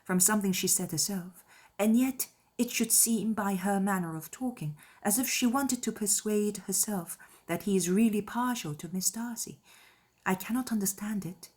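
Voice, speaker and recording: A woman voicing a gentle, wounded young gentlewoman in a period audiobook drama, speaking in an earnest, measured, formal way. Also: mid-range voice, slightly rough, close to an everyday voice. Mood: hurt, puzzled. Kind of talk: dramatized period novel letter reading.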